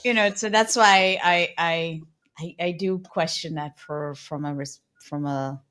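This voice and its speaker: A woman's voice: deep voice, steady tone, unhurried, fairly bright tone, clear, resonant tone. A middle-aged woman hosting an interview, asking reflective questions with hesitant restarts. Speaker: podcast host. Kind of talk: conversational interview.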